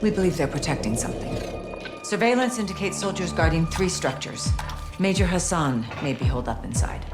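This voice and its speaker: An actress playing a composed, determined American intelligence officer in a war game, speaking in a clipped, serious, matter-of-fact way. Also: female voice, lowish voice, wildly swooping pitch, fairly quick. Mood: briefing, controlled. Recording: loud music behind the voice.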